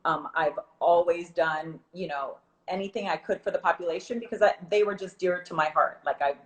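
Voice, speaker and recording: A woman's voice: lowish voice, a little fast, poor audio. A woman guest in a casual video-call interview, chatty and upbeat, from South Florida. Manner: chatty, upbeat, laughs easily. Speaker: guest, program representative.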